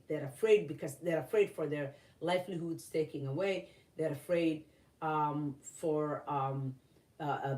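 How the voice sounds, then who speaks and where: Earnest, halting woman, off-mic. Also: low voice, darkish tone, far from the mic. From Somalia. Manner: earnest, halting, many fillers and restarts. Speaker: vlogger. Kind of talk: opinion commentary. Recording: roomy, voice from off-mic.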